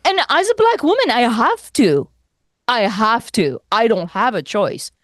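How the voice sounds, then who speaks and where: A young adult woman whose first language is French, co-hosting a podcast in casual chat, animated, laughing often and restarting sentences. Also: on the high side, a little slow, no echo, clean studio sound. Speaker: podcast co-host.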